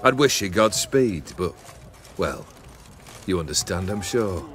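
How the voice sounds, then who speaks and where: A man playing a genteel, aloof manor owner in a video game, courteous, measured and dry. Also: low-pitched, animated, a bit bright, a little hiss or hum. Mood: coolly courteous. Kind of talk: video game character acting.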